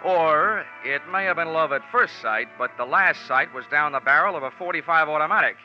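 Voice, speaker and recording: A male actor plays a hard-boiled American private investigator from old-time radio. He narrates drily and tosses off deadpan wisecracks. The sound is dull and dated, with clear music in the recording. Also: fairly high pitch, a little fast, lightly acted. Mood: wry. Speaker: lead actor narrating as the detective. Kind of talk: 1940s radio drama acting, first-person narration.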